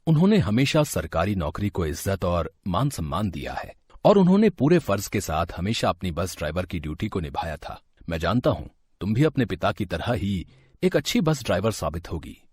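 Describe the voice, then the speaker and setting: An actor playing a kindly older uncle and official in a storybook tale, husky, measured and encouraging. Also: male voice, deep voice, sing-song, darkish tone, dry recording, exaggerated voice. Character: kindly older family-friend uncle, bus service official.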